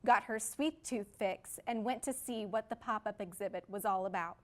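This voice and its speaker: A woman anchor reads the news intros in a crisp, scripted way. Her voice sounds plain and even. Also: mid-range voice, normal speed.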